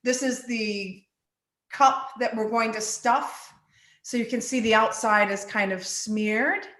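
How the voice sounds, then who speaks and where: A woman from the Bahamas and Virgin Islands, a glass artist giving a casual guided tour in an informal, halting way with pauses between phrases. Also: on the high side, fairly bright tone. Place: living room of a home studio. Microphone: phone on a selfie stick. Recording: echoey room, live video stream.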